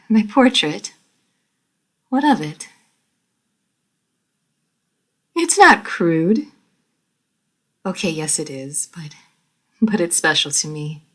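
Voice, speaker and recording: A woman voicing a refined, proud lady vampire who is easily flustered, speaking formally and with measured care in an audio roleplay. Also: on the low side. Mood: flustered, defensive. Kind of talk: scripted audio roleplay addressed to the listener.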